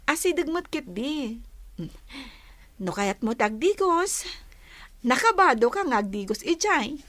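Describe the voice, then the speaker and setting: Plucky young countrywoman, very high voice, Filipino accent, sharp tongue acted by a woman for comedy drama. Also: very animated, unhurried, no echo, full character voice. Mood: friendly.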